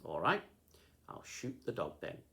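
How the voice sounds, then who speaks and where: A man's voice: on the low side, wildly swooping pitch, a little slow, sharp tone, full character voice. A man reading a storybook, voicing a cold, threatening adult male kidnapper. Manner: cold, commanding.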